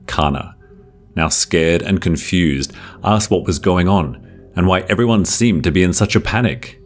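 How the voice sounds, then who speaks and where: Deep-voiced male horror storyteller over music. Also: measured pace. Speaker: narrator. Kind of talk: scripted horror folktale narration.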